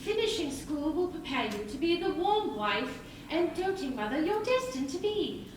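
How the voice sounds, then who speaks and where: Prim, strict mother, high and lively. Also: moderate pace. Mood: firm, lecturing. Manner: prim, proper, admonishing. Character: prim, strict but loving Edwardian mother. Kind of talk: theatrical stage acting. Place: theatre stage. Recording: echoey stage recording, distant mic, faint noise.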